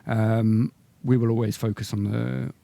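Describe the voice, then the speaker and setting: A man speaking as a company executive, answering questions on a business call in measured corporate language, with hesitant repetitions. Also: mid-range voice, little pitch movement, gravelly, mellow.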